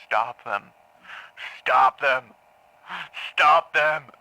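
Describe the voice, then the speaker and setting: An actor playing a haunted man in an audio drama, confessing in halting, repetitive phrases to a grim crime. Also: mid-range voice, vocal fry, bright, forceful tone, dry recording, muffled recording, heavily acted. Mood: frantic, commanding. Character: haunted man confessing to killing his parents in therapy. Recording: taped therapy session sound.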